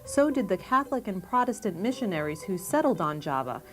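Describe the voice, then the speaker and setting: A woman's voice: medium pitch, big pitch swings, a little fast, soft background music. A woman reading scripted documentary narration as the narrator.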